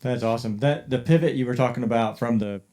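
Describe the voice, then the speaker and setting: A man's voice: mid-range voice, fast, warm tone. A man co-hosting a podcast, informal and encouraging, with lots of fillers. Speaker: podcast co-host. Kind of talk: casual podcast conversation.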